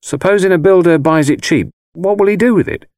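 A man's voice: high voice, fairly quick, darkish tone, dry recording, studio quality, voice pushed up, natural voice. A man playing a curious, sceptical young man in an audiobook, politely questioning and coaxing. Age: young adult. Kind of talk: dramatised audiobook dialogue.

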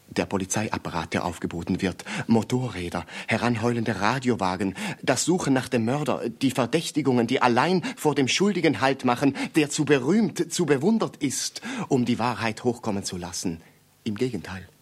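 An actor playing a pedantic, obsessive amateur sleuth in a radio play, speaking in formal, precise, declamatory phrases. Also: male voice, medium pitch, animated, normal speed, breathy edge. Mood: rapt, declamatory. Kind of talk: German radio play acting.

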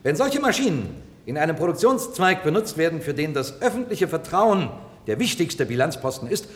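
An eloquent courtroom lawyer, highly animated. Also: male voice, normal speed. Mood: courtly, persuasive. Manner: formal, rhetorical, emphatic delivery. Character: male defence lawyer, eloquent, persistent, courtly, pleading for robots. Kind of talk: 1960s-1990s German radio drama acting. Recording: reverberant hall, old radio play.

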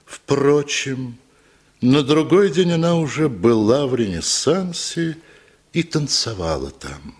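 A man narrating a literary radio play in a measured, dramatic storytelling manner. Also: medium pitch, sing-song, husky.

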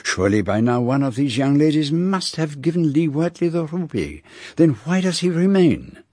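An actor playing a shrewd, courteous, self-assured foreign detective in a radio drama, with precise, formal phrasing. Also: male voice, fairly high pitch, very animated, moderate pace, dark tone, dry recording, clean studio sound. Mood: intrigued, suspicious. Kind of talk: radio drama dialogue and narration.

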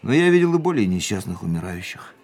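An actor playing a fatalistic, world-weary racing driver in a Russian radio drama, speaking in a measured, reflective way. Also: male voice, fairly low pitch, very animated, a little mellow. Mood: weary, philosophical. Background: music.

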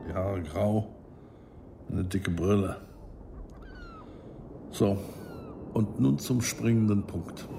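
An actor playing a cool, methodical operative in a German radio drama, giving a briefing in precise, clipped, matter-of-fact phrases. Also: male voice, lowish voice, vocal fry, darkish tone, slight background noise. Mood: businesslike.

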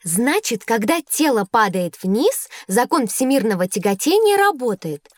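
Casting: inquisitive schoolchild, high voice, very varied intonation, questioning delivery, educational audio drama. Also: female voice, a little slow, dry recording, studio quality. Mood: curious. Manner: questioning, expressive.

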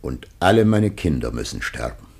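A man's voice: very deep voice, big pitch swings, normal speed, vocal fry, darkish tone, breathy edge, exaggerated voice. An actor playing the aged first man, anguished and awaiting death, in solemn, declamatory radio-play style. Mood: sorrowful, grave. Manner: grave, declamatory, archaic verse-like diction. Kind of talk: solemn classical German radio play acting.

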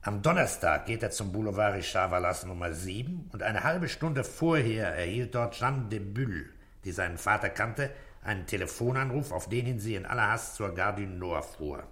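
An actor playing a brisk, methodical French police commissioner in a German radio crime drama, terse and businesslike. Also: male voice, on the low side, expressive, fairly bright tone. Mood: pensive, measured. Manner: terse, businesslike, thinking aloud.

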